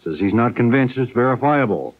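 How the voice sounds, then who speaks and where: An American man reads the news as a radio anchor. His pitch is middling, and the sound is a thin, lo-fi 1970s broadcast. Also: expressive, fairly quick. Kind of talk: scripted news reading.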